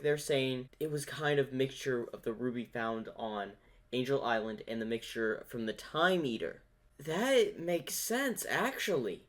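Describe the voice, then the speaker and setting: A man giving casual commentary on a fan comic dub, thinking out theories in a rambling, speculative way. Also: on the high side. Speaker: reaction video host.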